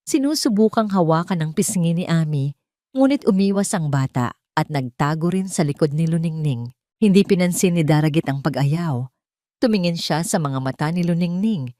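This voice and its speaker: A woman narrates a scripted drama. Her voice sits low, and the recording is dry and close, with a measured storytelling delivery. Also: a little mellow, no echo.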